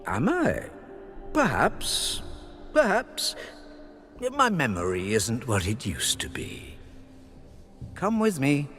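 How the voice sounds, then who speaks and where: An actor playing an older priest in a dark fantasy game, measured, formal, courteous and slyly probing. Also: male voice, mid-range voice, huge pitch swings, heavily acted. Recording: music behind the voice. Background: music.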